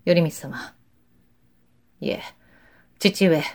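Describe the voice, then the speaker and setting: An actor playing a righteous, dutiful young samurai officer in a Japanese period audio drama, with formal, respectful, archaic speech. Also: male voice, a little high, fairly even intonation, drawn-out words, darkish tone. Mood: grave, accusing.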